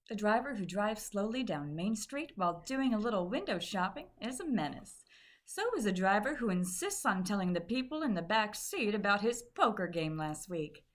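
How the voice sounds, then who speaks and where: A woman announcer reading a scripted public service message in old-time radio style. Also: mid-range voice.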